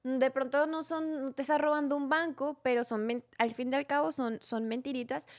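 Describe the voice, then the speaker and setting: Young woman guest, high voice, thinks out loud, lots of restarts. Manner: reflective, halting with many restarts. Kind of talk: casual faith conversation.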